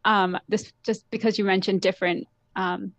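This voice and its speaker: A woman's voice: medium pitch, little pitch movement, normal speed, dry recording. Chatty woman podcast host, dry sound. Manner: chatty, laughs and affirms often. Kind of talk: casual interview chat.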